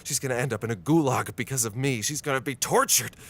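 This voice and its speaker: An actor with an American accent playing an anguished, indignant reporter abroad in a radio drama, breathless and urgent. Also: male voice, mid-range voice, fast talker, vocal fry, a bit bright. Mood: panicked, self-blaming. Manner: breathless, talks to himself, urgent. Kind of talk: radio drama acting with first-person narration.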